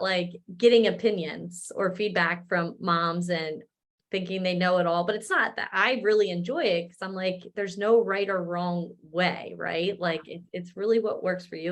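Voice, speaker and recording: A woman in her thirties, a wellness coach, talking in a relaxed, casual way with lots of fillers. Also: fairly low pitch. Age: 30s. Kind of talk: casual chat.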